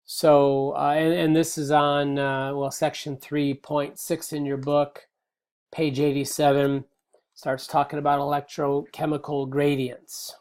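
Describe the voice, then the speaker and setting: Middle-aged man, slightly hoarse, biology lecture, relaxed, lots of ums, webcam in a small room. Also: fairly high pitch, measured pace. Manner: relaxed, explanatory, many fillers and restarts. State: slightly hoarse, clearing throat. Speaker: biology teacher. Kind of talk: recorded slide lecture.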